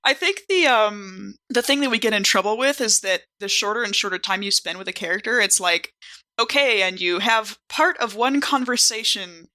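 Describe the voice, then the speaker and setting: A woman chatting casually in a group, telling things in an animated way with acted-out reactions. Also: medium pitch, normal speed. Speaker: podcast cast member.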